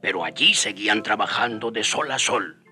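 A man's voice: on the low side, animated, moderate pace, sharp tone, faint music behind. An actor playing a man who fondly recalls past days as the narrator of a radio drama. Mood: fond, amused. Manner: reminiscent, storytelling. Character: fond storyteller recalling his days with the friars.

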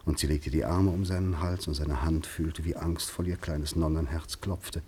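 An actor narrating a 1950s radio drama as a grave, brooding storyteller, in a measured, literary and suspenseful manner. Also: male voice, a bass voice, steady tone, dark tone, slight background noise.

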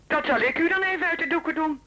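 A woman's voice: high voice, fast, bright, forceful tone. An actress in a Dutch radio drama playing a busy, protective housewife and mother, blunt and indignant on the phone. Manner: blunt, indignant, plain-spoken.

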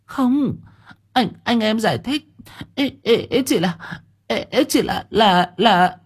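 A man putting on a high voice for a defiant, sharp-tongued young wife. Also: animated, slow, husky, heavily acted. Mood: flustered. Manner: shrill, stammering then railing.

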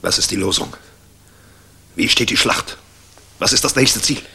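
An actor playing a bitter, defiant German air force general in a 1960s radio drama, with a husky, often breathy voice and an intense, probing manner. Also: male voice, fairly low pitch, measured pace, exaggerated voice. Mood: bitter, challenging. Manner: intense, probing rhetorical questions, swinging from hushed to explosive.

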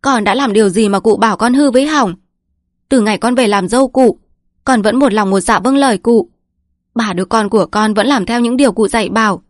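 Woman voicing a high-pitched, indignant village daughter-in-law. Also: brisk, no room sound, clean studio sound, natural voice. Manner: snapping, indignant, protesting. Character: aggrieved village daughter-in-law, defiant, sharp-tongued.

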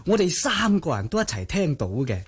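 Husky, sly male schemer played by an actor. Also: on the high side, big pitch swings, fairly quick. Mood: sly, coaxing. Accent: Cantonese. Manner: sly, insinuating, chuckling schemer. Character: scheming younger uncle, sly, ruthless ringleader of a family plot. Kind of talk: Cantonese radio drama acting.

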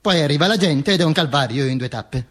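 High, husky man in theatrical monologue. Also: animated, fast talker, a bit bright. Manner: declamatory, repetitive, emphatic phrasing. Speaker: stage actor performing a monologue. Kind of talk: theatrical dramatic monologue for radio.

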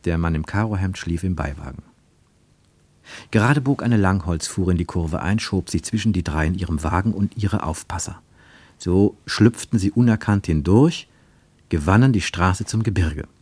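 A man narrating a radio drama in a measured, deliberate storytelling manner, recorded in a studio. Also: deep voice, a little mellow, breathy edge, dry recording. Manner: measured, deliberate storytelling with slowed emphatic phrases.

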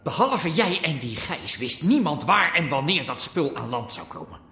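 An explosive, swearing gangland boss in a radio drama, interrogating with open suspicion. His high voice swings wildly, heard in an echoey space with noise behind it. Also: big pitch swings, normal speed, bright, forceful tone, heavily acted. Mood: menacing. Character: enraged foul-mouthed male Amsterdam underworld boss, suspicious. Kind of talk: Dutch radio drama acting. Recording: roomy echo with clear background noise.

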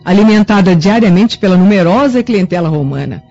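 Radio drama Roman matron, insinuating and theatrical; very animated intonation, soft dark timbre, faint music underneath. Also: female voice, mid-range voice, lo-fi sound. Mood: sinister, knowing. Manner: dramatic, insinuating, deliberate delivery. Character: scheming, haughty Roman matron, mother of young bride.

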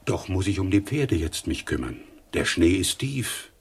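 An actor in a radio drama playing a humble, devoted male servant who pleads deferentially in a husky voice. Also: sits low, expressive, a little slow, full character voice. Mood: devoted, worried. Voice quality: husky, gravelly.

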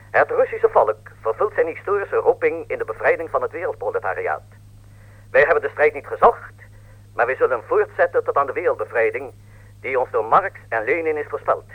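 An actor playing a solemn, formal foreign news correspondent in a radio play, delivering scripted reports in a declamatory way. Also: male voice, mid-range voice, slightly rough. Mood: declamatory, emphatic. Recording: dull lo-fi radio sound.

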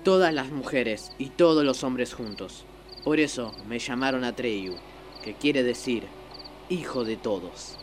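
A man's voice: mid-range voice, unhurried, lightly acted. An actor playing a proud, earnest young boy hunter in a fantasy radio drama. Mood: quiet sorrow. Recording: radio play with faint music.

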